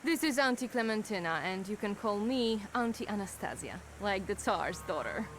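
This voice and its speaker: An actress voicing a wary, sharp-tongued street woman in a video game, speaking in a mocking, suspicious way. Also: mid-range voice, lively intonation, moderate pace, over music, lightly acted.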